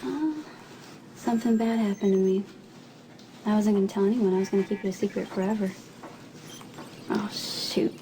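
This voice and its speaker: An actress playing a caring, candid aunt in a television soap drama, speaking in an earnest, gently persuasive way. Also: female voice, mid-range voice, fairly even intonation, normal speed, pauses often, natural voice. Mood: gentle, casual. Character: caring, candid aunt urging a child toward help. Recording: clearly noisy background ambience.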